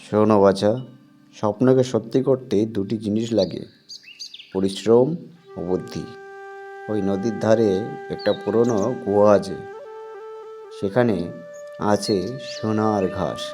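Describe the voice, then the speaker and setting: A man doing a slow, low old village elder. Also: music in the background, close to an everyday voice. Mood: advising. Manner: slow, gentle advising.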